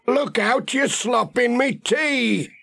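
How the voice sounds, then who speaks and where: Man playing wry countryman, children's storybook, blunt, informal, high-pitched, gravelly. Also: lively intonation, takes it slowly, sharp tone, heavily acted. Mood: flustered. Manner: blunt, informal rustic talk. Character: plain-spoken, grumbling countryman, matter-of-fact and wry. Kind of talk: children's audiobook character reading.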